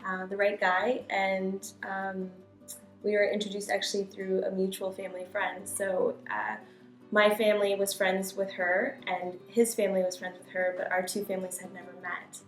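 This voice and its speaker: A woman's voice: mid-range voice, flat delivery, moderate pace. A woman from the United States giving an informal, conversational personal account as an interviewee. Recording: roomy echo, guitar music underneath. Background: soft guitar music.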